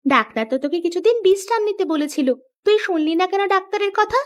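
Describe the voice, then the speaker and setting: A woman plays a teasing little village girl from a kids' cartoon. The voice is very high, with a mocking, quick-tempered way of bantering. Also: expressive. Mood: teasing, scornful. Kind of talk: children's cartoon dialogue.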